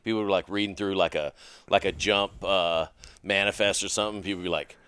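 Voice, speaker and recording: Bright-toned wisecracking co-host. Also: male voice, low voice, fairly even intonation, quick pace, clean tone. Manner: crude wisecracking humor. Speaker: podcast co-host. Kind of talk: casual podcast banter.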